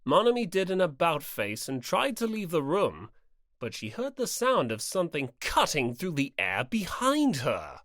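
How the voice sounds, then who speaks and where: A woman's voice: deep voice, wildly swooping pitch, moderate pace, dry recording, clean studio sound. A woman reading scripted story narration for an audiobook.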